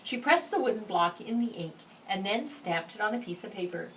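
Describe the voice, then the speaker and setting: Very expressive woman reading a children's story. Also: medium pitch, brisk. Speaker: storybook reader. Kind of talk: expressive children's read-aloud narration. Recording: slightly distant mic, faint background noise.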